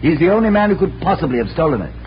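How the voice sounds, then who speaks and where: A man's voice: on the high side, big pitch swings, racing. A man playing a wealthy, suspicious, domineering host with formal, emphatic speech in a 1940s radio drama. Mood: accusing, stern. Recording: muffled vintage radio recording, faint noise.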